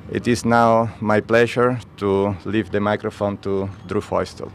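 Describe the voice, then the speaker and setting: A man with an Italian accent delivers a measured, formal statement to the press. His voice sits low and hardly moves in pitch. A faint hum of the surroundings stays under him. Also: steady tone. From Italy. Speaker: astronaut, air force colonel.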